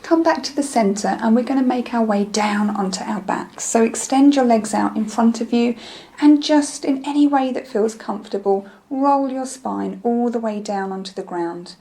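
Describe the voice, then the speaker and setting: A woman leading a guided meditation in a gentle, soothing, unhurried manner, with phrases that trail off softly. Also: a little high. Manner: soothing, gentle, unhurried instruction with soft breathy phrase endings.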